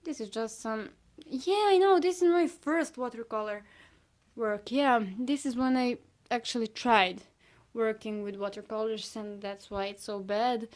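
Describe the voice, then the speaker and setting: A woman's voice: on the high side, unhurried. Serbian-accented young woman chatting casually. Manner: hesitant, many fillers, self-critical asides. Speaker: artist showing her sketchbook. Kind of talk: casual sketchbook tour chat.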